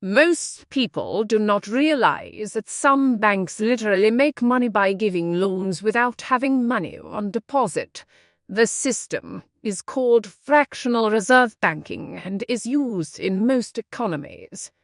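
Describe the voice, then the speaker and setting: A woman's voice: mid-range voice, very animated, measured pace, no echo, studio quality. A woman reading a short scripted practice passage aloud as an exam narrator.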